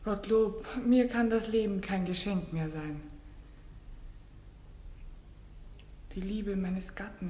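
A woman's voice: a little high, darkish tone, natural voice. An actress playing a proud, anguished queen on trial with solemn, declamatory delivery in a 1960s German radio drama. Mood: grieving. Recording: echoey 1960s radio studio recording.

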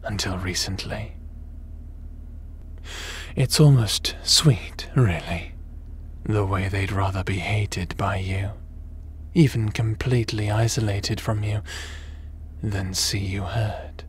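A man playing a cold, scheming crime boss in a sci-fi audio drama, speaking in a menacing, measured, smug and theatrical way. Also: on the low side, a little hiss or hum. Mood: smug, gloating.

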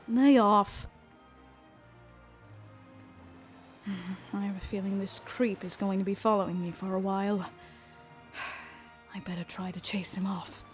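A woman playing a wary, bookish young woman in an audio drama, musing to herself in a measured way. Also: mid-range voice, fairly even intonation, natural voice. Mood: annoyed, wary. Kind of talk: fan audio drama monologue. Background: music.

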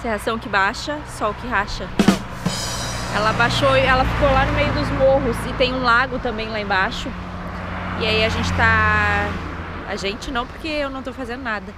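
A woman's voice: a little high, moderate pace, loud music behind the voice. A woman, chatting casually on a travel vlog from a handheld camera at arm's length. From southern Brazil. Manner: chatty, relaxed, self-mocking. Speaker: vlogger. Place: outdoors by a country road and in a car. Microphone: handheld action camera at arm's length.

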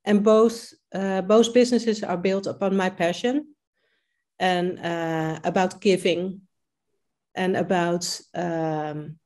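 A woman's voice: lowish voice, fairly even intonation, slow, darkish tone. A Dutch-accented woman in her fifties speaks on a video call from her home kitchen. English is not her first language, so she halts often and restarts her sentences as she talks things through. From Netherlands. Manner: hesitant, many fillers and restarts. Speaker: coaching client.

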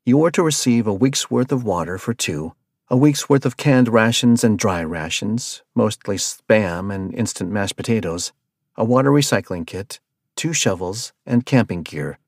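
Man playing a prim male clerk, formal, curt, lively pitch. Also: normal speed, no room sound, studio quality. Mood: stiff. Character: stiff rule-bound male supply clerk.

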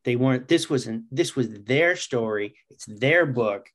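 A middle-aged man with an average-pitched voice speaks as an interview guest. He is earnest and conversational, often pausing to restart, over a video call. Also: lively intonation. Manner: halting, earnest. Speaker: interview guest, comic writer.

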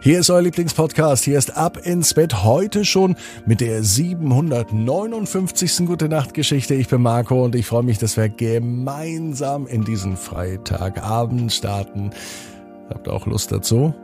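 A man's voice: mid-range voice, normal speed, a little mellow, faint music behind. A man hosting a children's podcast, narrating bedtime stories in simple, repeated phrases and speaking directly to young listeners. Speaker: podcast host and bedtime storyteller.

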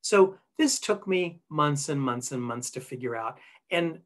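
A man's voice: on the high side, expressive, measured pace. A middle-aged man, a linguist, giving a recorded conference talk over slides from a small room into a webcam, explaining things conversationally with frequent ums and self-corrections. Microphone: webcam at desk.

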